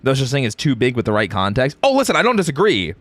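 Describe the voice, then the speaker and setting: Male streamer, very animated pitch swings, playful teasing, casual chat, close dry sound, music behind. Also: fast, no room sound. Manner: exclamatory, animated reactions. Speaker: streamer and host; game voice actor. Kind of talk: casual livestream chat, greeting viewers.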